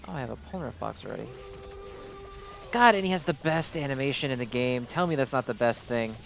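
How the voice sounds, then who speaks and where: A man's voice: medium pitch, animated, brisk, vocal fry, a bit bright. A man giving casual gameplay commentary in a rambling, easily distracted way. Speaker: gaming video host. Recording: game music behind the voice. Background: game music.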